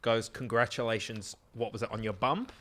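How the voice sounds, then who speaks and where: A man's voice: medium pitch, fairly even intonation, moderate pace, a bit bright. A man guesting on a podcast, riffing sardonically in a loose, sweary, comedic conversational style. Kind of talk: casual comedic chat.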